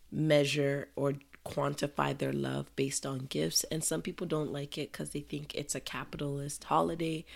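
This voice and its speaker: Woman, podcast host, low voice, gravelly, hoarse sore throat, second-language English speaker, chatty rambling monologue. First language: not English. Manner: chatty, conversational, rambling asides. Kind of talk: casual solo podcast monologue.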